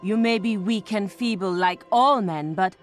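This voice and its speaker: Woman as a slow, seductive warrior queen. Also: mid-range voice, soft background music, lightly acted. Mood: teasing. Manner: seductive, teasing, drawn-out. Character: bold, flirtatious, domineering warrior queen. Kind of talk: video game character acting.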